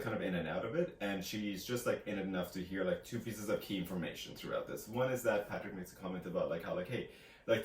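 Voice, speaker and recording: A man with a low voice retells a story in a loose, conversational vlog style. He rambles and hesitates as he goes, slipping into casual quotes. The room is echoey, with faint noise behind him. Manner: rambling, hesitant, quoting characters in casual asides. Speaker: co-host of a book discussion vlog. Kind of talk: casual chat, retelling a novel's plot.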